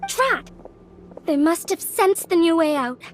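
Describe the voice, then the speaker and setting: A woman's voice: extremely high pitch, sing-song, measured pace, exaggerated voice. A woman playing an earnest young woman in a fantasy video game, speaking articulately. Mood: alarmed. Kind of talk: video game cutscene acting.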